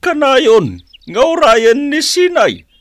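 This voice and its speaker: Radio drama: excitable old chief, fond father, high swooping voice, theatrical and unhurried. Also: full character voice. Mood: wistful fondness. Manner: theatrical, drawn-out, emphatic.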